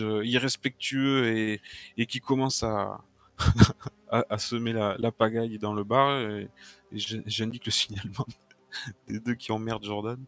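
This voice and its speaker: A man playing a tabletop role-playing game, speaking haltingly and often repeating his words. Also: mid-range voice, a bit bright. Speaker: player. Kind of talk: casual role-play talk.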